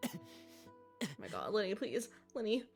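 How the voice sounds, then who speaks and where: Gushing woman streamer with swooping pitch. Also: huge pitch swings, slow, creaky, faint music behind. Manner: gushing, exclaiming. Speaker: livestreamer reacting to a game. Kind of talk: excited gameplay reaction.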